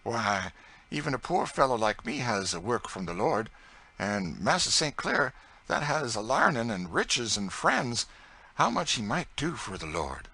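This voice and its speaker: A man's voice: mid-range voice, very animated, a little slow, bright, forceful tone, breathy edge, voice pushed up, exaggerated voice. A man voicing an earnest, devout old servant. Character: humble devout older enslaved man, earnest.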